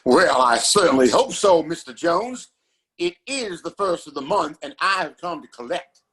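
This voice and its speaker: A man's voice: on the high side, expressive, moderate pace, a bit bright, husky. A man playing an oily, pompous mayor in a Western audio play, with falsely courteous speech. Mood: smug, demanding. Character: smug, oily Old West town mayor.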